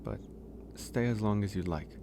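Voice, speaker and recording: Man voicing a gentle flirty werewolf, very low voice, unhurried, soft-spoken ASMR roleplay, ambient noise behind. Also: lightly acted. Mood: grateful, caring. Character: gentle, flirtatious male werewolf host, lonely and tender. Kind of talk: ASMR romantic audio roleplay.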